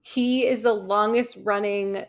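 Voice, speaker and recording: A woman's voice: medium pitch, fairly even intonation, unhurried. A woman in her thirties hosting a podcast, chatting casually, laughing often and swearing a little. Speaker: podcast host. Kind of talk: casual chat.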